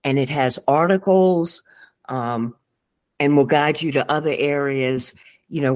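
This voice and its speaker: A mid-pitched man speaks as a therapist guest on a podcast. His talk is gentle, supportive and reassuring, in an easy conversational style. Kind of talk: conversational interview.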